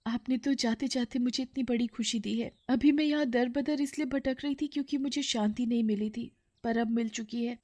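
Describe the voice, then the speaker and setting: A woman voices an innocent little girl from a cartoon moral tale. The voice sits high, sweet and gentle, with an affectionate, respectful way of speaking. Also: steady tone, moderate pace, no room sound, natural voice. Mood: tender, consoling. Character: sweet, innocent little sister, later a forgiving girl ghost. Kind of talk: dramatised cartoon moral story.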